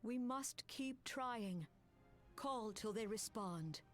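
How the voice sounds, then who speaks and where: A woman's voice: on the high side, animated, slow pace. Solemn elf lady leader in a game, formal and grave, takes her time, music behind. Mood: resolute. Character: solemn, composed elven woman leader pleading for restraint. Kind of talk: dramatic video game acting.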